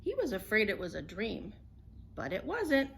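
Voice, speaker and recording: A woman's voice: medium pitch, wildly swooping pitch, moderate pace, gravelly, a bit bright. Woman reading a storybook, noisy recording. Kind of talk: children's picture book read-aloud.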